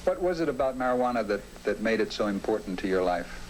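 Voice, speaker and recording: A man's voice: fairly low pitch, lively intonation, fast, a little hiss or hum, poor audio. A man asking direct, probing questions as a documentary interviewer, on an old film soundtrack.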